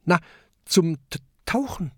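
Narrator putting on a stammering little sub captain, high-ish, proud but scared, slow and bouncy. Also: expressive, a little mellow, husky, no echo, studio quality. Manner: stutters on first sounds. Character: stuttering proud but timid male submarine captain. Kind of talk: storybook character voice.

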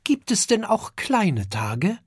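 A man voicing a small, excited boy dragon who is brave and quick to talk back, in a high, eager, childlike voice. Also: slightly rough, dry recording, studio quality, exaggerated voice. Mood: curious.

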